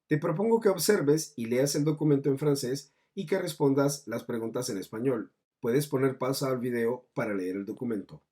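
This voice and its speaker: A man's voice: mid-range voice, dark tone, husky. A man narrating a scripted explanatory lesson as a language teacher, in a didactic manner with foreign terms mixed in. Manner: didactic, mixing in French terms.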